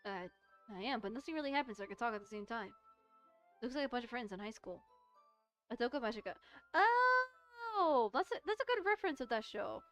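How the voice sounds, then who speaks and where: A woman with a fairly high voice plays and reads a visual novel for viewers. She is playful and rambles casually, with music behind her. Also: big pitch swings, a little fast, fairly bright tone. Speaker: streamer playing and reading a visual novel. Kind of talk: casual let's-play chat and read-aloud.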